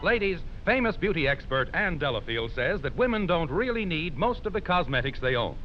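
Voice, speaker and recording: A man's voice: on the high side, sing-song, brisk, music in the background. A man announcing a 1940s-style radio commercial with polished salesmanship. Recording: muffled old radio broadcast.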